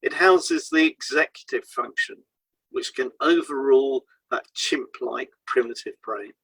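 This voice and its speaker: A man's voice: mid-range voice, unhurried, a bit bright, breathy edge, dry recording, poor audio. A man co-hosting an educational podcast, reading scripted explanations and stressing key points. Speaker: podcast co-host, hypnotherapist.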